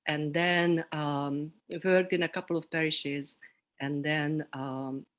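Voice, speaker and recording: A middle-aged woman with a Hungarian accent, speaking reflectively as an interview guest, pausing between short phrases. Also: sits low, fairly even intonation. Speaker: interview guest, seminary program director.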